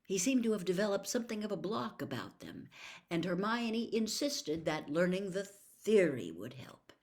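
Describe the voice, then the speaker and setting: A woman reading a children's fantasy novel aloud as an expressive storybook narrator. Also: mid-range voice, normal speed. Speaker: audiobook reader.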